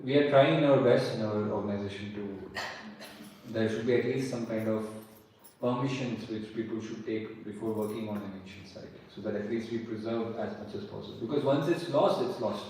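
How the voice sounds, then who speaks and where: A man's voice: fairly low pitch, moderate pace, a little mellow, slight background noise. A man giving a lecture on history and archaeology and answering audience questions, explaining patiently and repeating key points. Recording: echoey hall, mic far off, faint ambience.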